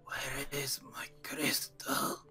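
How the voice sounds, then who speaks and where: Man acting a slow, whispering undead villain. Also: lightly acted. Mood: anguished menace. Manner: slow, whispering, with menacing laughs. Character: sinister undead villain, menacing, slow and mocking. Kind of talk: amateur Minecraft roleplay acting. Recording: distant voice under clear music.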